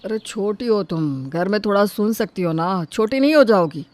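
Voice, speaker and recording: A woman playing a chiding member of the household in an animated story. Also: mid-range voice, normal speed, no echo, close to an everyday voice. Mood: chiding, questioning. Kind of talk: animated Hindi moral story dubbing.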